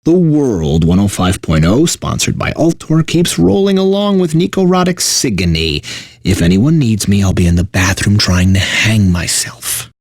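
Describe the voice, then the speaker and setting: A man's voice: fairly low pitch, sing-song, normal speed, no room sound, studio quality. An actor playing a bitter, sardonic video game radio DJ who resents his corporate bosses, mixing station patter with theatrical despair. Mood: sardonic, fed up.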